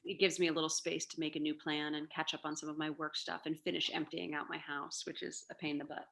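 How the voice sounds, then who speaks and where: A woman in her forties talks on a video call in a relaxed, rambling way, stringing thoughts together with ums and asides. Her voice sits fairly low for a woman yet sounds bright and forceful. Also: quick pace, vocal fry, a little hiss or hum. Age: 40s. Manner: chatty, rambling, with many fillers. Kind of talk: casual video-call chat.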